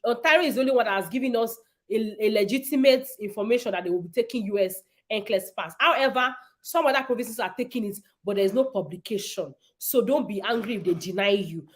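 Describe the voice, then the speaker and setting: A woman's voice: medium pitch, fast. A woman, hosting a casual livestream and answering viewers' nursing questions in an emphatic, repetitive way.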